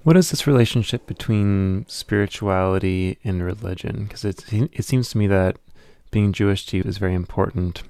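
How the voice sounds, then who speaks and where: A man hosting an interview and asking questions in a calm, measured, gently probing way. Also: lowish voice, smooth, no echo. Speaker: interviewer, host.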